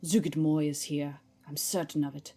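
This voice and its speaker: Casting: low-voiced actress as female holy warrior, devout and scornful, lofty diction, fantasy audio play. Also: little pitch movement, moderate pace, dark tone, husky. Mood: disdainful. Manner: formal, haughty diction. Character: zealous female warrior devoted to her goddess, disdainful. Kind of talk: fantasy audio drama acting.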